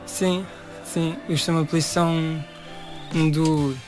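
A young Algarve man with a voice a little on the high side answers questions as a musician guest. He speaks informally and haltingly, slipping in English words, with his regional Portuguese accent. Light music plays behind him. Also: little pitch movement, darkish tone. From Portimão, Algarve, Portugal. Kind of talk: casual interview answers.

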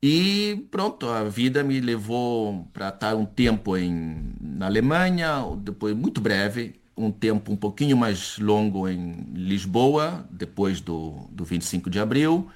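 Older guy, academic type in an interview, lowish voice, kinda halting, repeats himself searching for words. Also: very animated. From Chile-born son of Brazilian exiles, later Spain. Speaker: academic, university professor, interview guest. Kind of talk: conversational interview answers.

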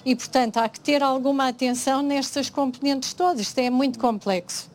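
A woman with a European Portuguese accent, speaking as a panelist on food science, halting often with restarts and "eh" fillers. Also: sits high. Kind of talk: panel discussion.

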